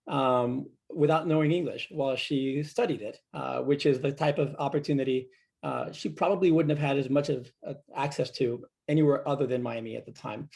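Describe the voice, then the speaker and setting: Cuban-born man with a gravelly voice. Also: medium pitch, a little mellow. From Cuba. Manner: reflective, measured, with frequent fillers. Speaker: guest speaker. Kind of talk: conversational storytelling in a fireside chat. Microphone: video call.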